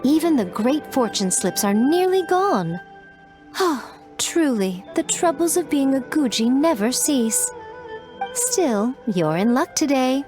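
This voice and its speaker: A woman's voice: high-pitched, big pitch swings, slow, darkish tone, soft background music, heavily acted. A woman playing a teasing, self-important shrine head priestess in a video game, with a playful, sweetly condescending manner. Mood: teasing, sly.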